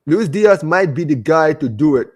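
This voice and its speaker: A man's voice: fairly high pitch, expressive, normal speed, husky, dry recording, clean studio sound. A man hosting a live football fan show in casual, slangy chat, often repeating himself and stumbling as he reads out comments. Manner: slangy, repeatedly addressing listeners as man, bro and guys; stumbling, repetitive delivery. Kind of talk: casual livestream chat, reading out viewer comments.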